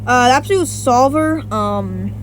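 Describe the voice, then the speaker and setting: A woman's voice: sits high, lively intonation, measured pace, slight background noise. A woman giving casual versus-battle commentary, rambling with many restarts and self-corrections and some casual swearing. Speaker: YouTuber.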